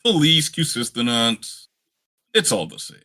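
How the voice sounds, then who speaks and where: A man's voice: medium pitch, very animated, measured pace, bright, forceful tone, full character voice. A man playing a brash, callous, easily bored adventurer in a tabletop game, blunt and mocking. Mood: dismissive.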